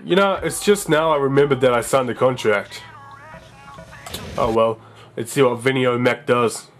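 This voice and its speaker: A man's voice: mid-range voice, moderate pace, faint music behind. Young man chatting over a wrestling game. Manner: chatty, excitable, reads game dialogue aloud. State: sore voice. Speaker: let's play gamer. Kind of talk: casual gameplay commentary, reading game text aloud.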